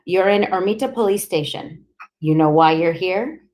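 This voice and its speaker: A woman's voice: on the low side, moderate pace, a little mellow, close to an everyday voice. A woman voicing a tired, bullying male police interrogator with a blunt, menacing manner.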